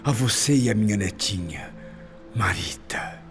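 A man's voice: fairly low pitch, animated. An actor with a Brazilian accent playing a loving, grieving father's spirit in a radio soap, tender and pleading, with phrases fading into whispers. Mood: tender, emotional. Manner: slow, tender, breathy whispered endings. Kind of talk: radio soap opera acting. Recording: music playing under the voice.

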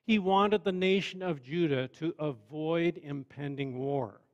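Guy preaching a sermon, kinda high voice, calm and chatty with dry little jokes. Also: dull audio. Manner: measured, conversational preaching with wry asides. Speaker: pastor.

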